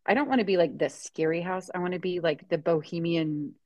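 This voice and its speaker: Woman in her thirties, enthusiastic rambling co-host, lowish voice, dry sound. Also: brisk, darkish tone, dry recording. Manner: rambling, enthusiastic, many restarts. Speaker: podcast co-host. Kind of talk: casual chat.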